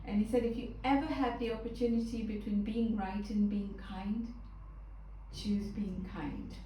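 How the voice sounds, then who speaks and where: Woman from Tanzania, loud and expressive, group facilitator, echoey room, heard from afar. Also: medium pitch, moderate pace, slight background noise. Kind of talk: group discussion. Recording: echoey room, distant mic.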